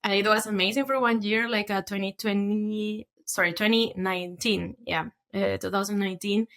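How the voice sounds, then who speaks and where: A woman's voice: mid-range voice, fairly even intonation, normal speed, fairly bright tone. A young woman from Peru, a podcast guest who tells her story in a chatty way, with many fillers. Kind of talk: conversational storytelling.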